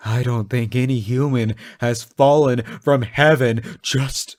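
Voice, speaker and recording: A man playing an obsessive, devoted fallen angel in an intimate, theatrical audio roleplay, halting and swinging between hushed murmurs and impassioned outbursts. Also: mid-range voice, fairly even intonation, lightly acted. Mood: possessive, pleading. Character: obsessive yandere fallen angel, male, devoted, unhinged lover.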